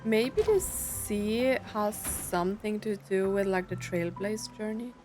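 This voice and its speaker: A woman chats casually over a video game, musing and puzzling aloud. She talks at a slow pace, her mid-pitched voice accompanied by clear background music. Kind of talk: casual gameplay commentary.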